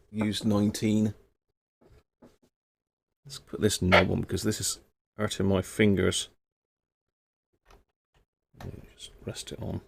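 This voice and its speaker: A man's voice: on the low side, gravelly. A man hosting a live stream about radio gear, chatting casually and laughing at his own mistakes as he works, his voice moving nearer and farther from the mic. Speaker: radio hobby livestream host.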